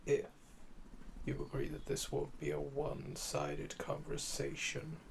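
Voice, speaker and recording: A man playing a calm, flirtatious, obsessive assassin under interrogation in an ASMR audio drama, teasing and deliberate, with breathy murmurs. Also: medium pitch, slight background noise, exaggerated voice.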